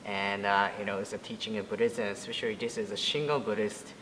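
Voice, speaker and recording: Japanese-accented young man, halting answers. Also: lowish voice, steady tone, fairly bright tone, big-room sound, a little hiss or hum, poor audio. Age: 20s. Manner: halting, many fillers and restarts. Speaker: Buddhist priest. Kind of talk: interview answers.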